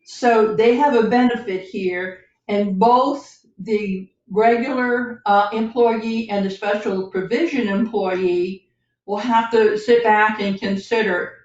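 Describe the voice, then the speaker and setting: A woman speaks as an advisor on a podcast, explaining things point by point with a halting delivery. Her voice is mid-pitched. It comes from some distance off the microphone in an echoey room. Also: steady tone, darkish tone. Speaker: financial advisor guest on a podcast. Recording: roomy, distant, dull lo-fi microphone.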